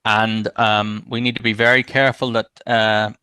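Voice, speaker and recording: A man's voice: lowish voice, little pitch movement, moderate pace, sharp tone, smooth, no echo. A man councillor speaking in a council debate, hesitant and thinking aloud. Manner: hesitant, many fillers and restarts, thinking aloud.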